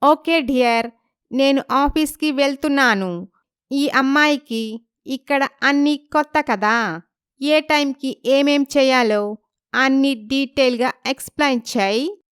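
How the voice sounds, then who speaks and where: Woman voicing a bossy, high-voiced young wife. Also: a little slow, no room sound, clean studio sound. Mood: bright, bossy. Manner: bossy, mixes in English phrases. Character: demanding, bubbly young American-based wife.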